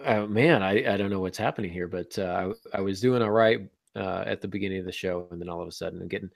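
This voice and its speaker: Guy hosting a podcast, low gravelly voice, kinda stuffed up, chatty, dry close sound. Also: quick pace, no room sound. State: congested, slightly under the weather. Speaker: podcast host. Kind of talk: casual podcast intro, chatty announcements.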